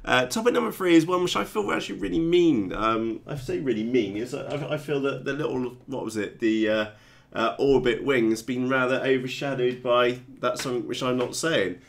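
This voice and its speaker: A man's voice: medium pitch, moderate pace, gravelly. A man hosting a casual hobby chat show, sounding blocked up and congested from a cold, rambling with many ums and restarts. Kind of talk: casual live-stream chat.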